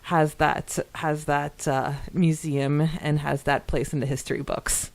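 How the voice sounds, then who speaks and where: A woman's voice: low-pitched, level tone, measured pace. A woman co-hosting a podcast, chatting casually and conversationally as she goes through listener comments. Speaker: podcast co-host.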